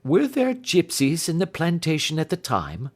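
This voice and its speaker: A probing gentleman detective from a classic mystery storybook , voiced by a man reading aloud. He is brisk and inquisitive, questioning with clear authority. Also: fairly high pitch, wildly swooping pitch, voice pushed up.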